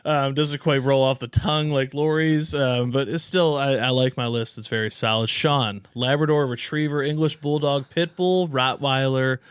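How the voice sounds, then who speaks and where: A man's voice: mid-range voice, fairly even intonation, normal speed, hardly any pauses, clean tone, dry recording, clean studio sound. A man co-hosting a podcast with chatty, slangy, wry casual banter. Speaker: podcast co-host.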